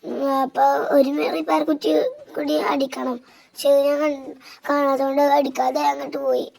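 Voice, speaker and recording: A gamer with a northern Kerala Malabar accent gives casual, halting commentary, recounting gameplay moves step by step. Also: high-pitched, flat delivery, creaky, darkish tone, poor audio. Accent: northern Kerala Malabar Malayalam.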